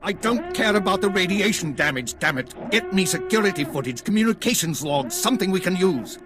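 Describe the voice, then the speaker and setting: A male actor playing a commanding, weary middle-aged intelligence chief in a video game, husky and brisk. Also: high-pitched, lively intonation. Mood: urgent, snapping. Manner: brisk, authoritative.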